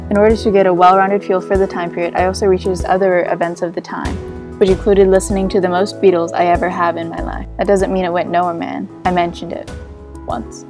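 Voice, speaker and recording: A teenage girl with a Texan accent who introduces her class project and then reads the closing paragraph of her war essay in a calm, even voice. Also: lowish voice, fairly quick, music in the background. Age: teens. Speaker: student. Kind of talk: reading her own essay aloud.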